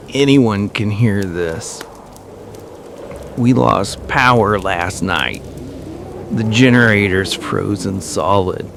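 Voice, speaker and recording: A man performs a desperate lone radio survivor in a frozen apocalypse. His lowish voice drags extremely slowly, haunted and halting, over clearly noisy storm ambience. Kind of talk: slow survival horror monologue.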